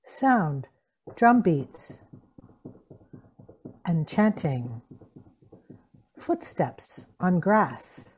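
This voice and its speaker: Female cue reader, lowish voice, extremely unhurried, terse, distant call audio with faint hiss. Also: very animated, a little mellow. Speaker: sound-effects reader. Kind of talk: terse read-out stage directions.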